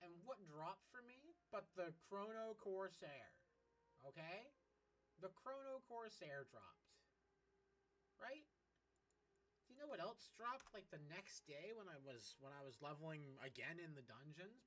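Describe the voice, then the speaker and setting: A man's voice: on the high side, animated, measured pace, a bit bright, a little hiss or hum. A man streaming a game, explaining things casually in a rambling, emphatic way with repeated points. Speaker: gaming streamer. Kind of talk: casual gameplay chat, explaining.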